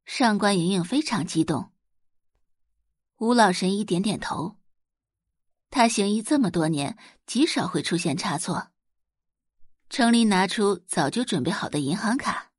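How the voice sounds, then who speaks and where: A woman's voice: medium pitch, animated, a little slow, pauses often, breathy edge, no echo, clean studio sound. A woman reading scripted dramatic narration as an audiobook narrator.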